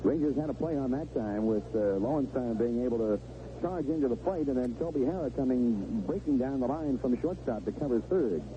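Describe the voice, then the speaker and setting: Man, baseball color commentator, conversational, vintage radio sound, slightly muffled, clearly noisy background. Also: medium pitch, expressive, a little fast.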